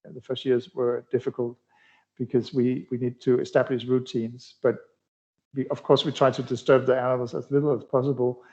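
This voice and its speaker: A middle-aged man from Denmark, a cardiology researcher answering interview questions in a halting way, with many fillers and restarts. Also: mid-range voice, little pitch movement, a little mellow. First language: Danish. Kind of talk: conversational expert interview. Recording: dull lo-fi sound.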